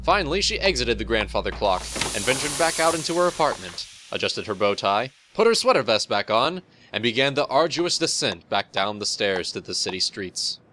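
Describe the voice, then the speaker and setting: A man's voice: mid-range voice, very animated, normal speed, few pauses, background noise. A man reading scripted narration for an audio drama.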